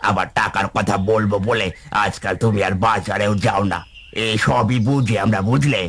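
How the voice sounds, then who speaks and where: A man's voice: on the low side, steady tone, moderate pace, a bit bright. A man playing a threatening, overbearing village moneylender in a cartoon, bullying and accusatory. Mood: menacing. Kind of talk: cartoon voice acting.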